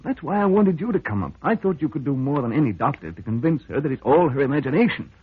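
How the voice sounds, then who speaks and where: A troubled husband in an old radio drama. His middle-pitched voice is husky and hesitant, confiding earnest doubts. The recording is thin and boxy, with very little room sound. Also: sing-song, fast, no echo, phone-quality sound. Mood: anxious. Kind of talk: vintage radio drama acting.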